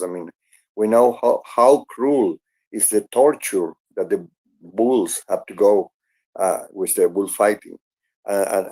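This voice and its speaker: A man co-hosting an online audio chat who talks haltingly and repeats words. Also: fairly low pitch, warm tone.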